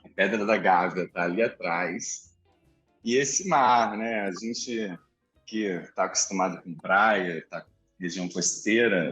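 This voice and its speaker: A man in his thirties from Rio de Janeiro with a Carioca accent, chatting reflectively as an interview guest over a video call. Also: lowish voice. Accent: Carioca Brazilian Portuguese, slightly softened. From Rio de Janeiro, Brazil. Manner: chatty, reflective, animated asides. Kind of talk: relaxed conversational storytelling. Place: apartment indoors. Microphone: headphones with mic on a video call.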